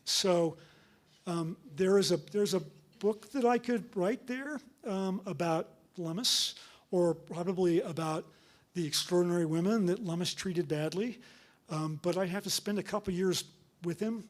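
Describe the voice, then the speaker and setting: High-voiced man fielding lecture questions. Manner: hesitant, many fillers and restarts. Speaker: guest lecturer and author. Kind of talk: public lecture and Q&A.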